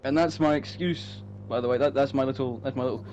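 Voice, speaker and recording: A man's voice: medium pitch, fast talker, a little mellow, highs cut off, faint music behind. A man chatting casually as a writer about his own story, emphatic and rambling, with some swearing. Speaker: writer of the story being read. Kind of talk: casual chat.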